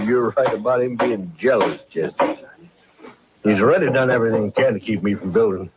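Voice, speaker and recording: An actor playing a proud, combative older rancher in a 1950s radio Western, boastful, folksy and quick to take offense. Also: male voice, lowish voice, natural voice. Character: proud, combative aging rancher turned hotel builder. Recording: noisy, dull old radio recording.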